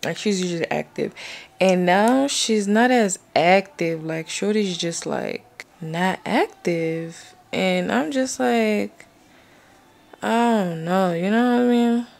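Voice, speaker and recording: A woman's voice: medium pitch, slow pace, vocal fry, a little mellow. A young woman chatting casually and informally to camera in a slangy vlog style. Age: young adult. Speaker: vlogger.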